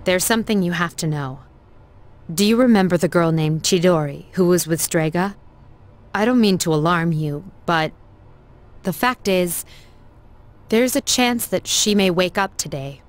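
A woman voicing a composed, formal young student council leader in a game, speaking in a serious, measured way. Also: lowish voice, close to an everyday voice. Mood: grave, formal. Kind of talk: scripted game voice acting.

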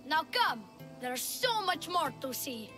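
A woman's voice: high voice, sing-song, slow pace, heavily acted. A woman voicing a young boy who is a confident, salesy tour guide and huckster, in a video game. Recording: game music underneath.

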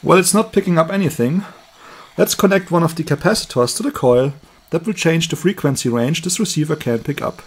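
Husky, higher-pitched man explaining. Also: expressive, fairly quick. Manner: explanatory, step by step. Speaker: hobby electronics presenter. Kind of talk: hands-on demonstration commentary, unscripted. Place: indoors at a table.

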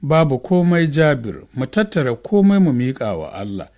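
A man's voice: medium pitch, very animated, moderate pace, dark tone. A man voicing a pious, consoling older woman in an audiobook, speaking in earnest exhortation.

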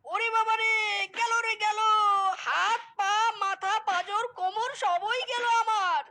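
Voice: very high-pitched, a little slow, pushed and bright, slight background noise, full character voice. A performer voicing a whiny, melodramatic young male fox in a cartoon, wailing and lamenting theatrically. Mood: wailing panic. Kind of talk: cartoon voice acting.

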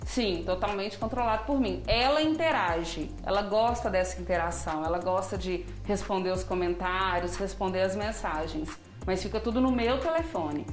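Earnest mother explaining calmly. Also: medium pitch, normal speed, few pauses, echoey room. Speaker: interviewed mother. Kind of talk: casual interview answers. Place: home kitchen indoors. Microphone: reporter's handheld mic.

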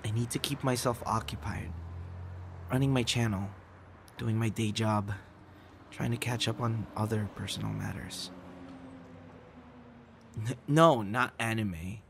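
Male actor as guarded young friend in an audio drama: lowish voice, slow hesitant pacing, stammers. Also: gravelly, slight background noise. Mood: irritated, evasive. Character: busy, guarded young man, awkward with close friend. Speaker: audio roleplay performer. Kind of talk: intimate ASMR boyfriend roleplay acting.

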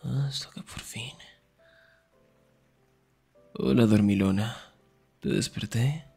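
A young man performing an intimate ASMR roleplay as a teasing, affectionate best friend, murmuring in a breathy, husky whisper. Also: medium pitch, takes it slowly, mellow, soft background music.

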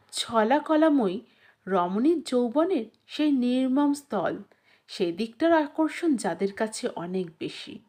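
A woman narrating a literary short story, reading from a script in a measured, expressive storytelling manner. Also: on the high side.

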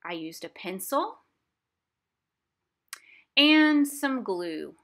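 Woman, art teacher, bright forceful voice, step-by-step children's craft lesson, simple explanations. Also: fairly high pitch, very animated, very slow. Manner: simple, explanatory, addressing young viewers. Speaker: art instructor for a museum family program. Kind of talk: instructional craft demonstration for children. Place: indoors at a craft table.

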